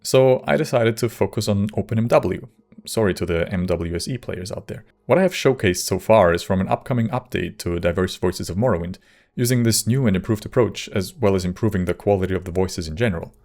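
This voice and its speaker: Low, gravelly man narrating a video. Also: fairly quick, darkish tone. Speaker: video creator and modder. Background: faint music.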